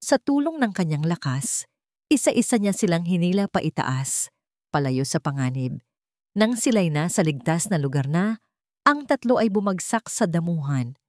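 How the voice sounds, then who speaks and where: A woman narrates an audio drama in a somewhat low voice, recorded dry and close. Her delivery is solemn and suspenseful, full of feeling, in the manner of a scripted storyteller. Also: sing-song, normal speed, darkish tone, no room sound.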